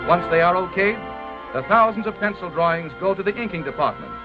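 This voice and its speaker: A man's voice: high voice, expressive, fairly quick. An American man narrating a 1930s promotional film in a formal, grandiose announcer style from a script. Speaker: documentary narrator. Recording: dull vintage 1930s film soundtrack, music. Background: orchestral music.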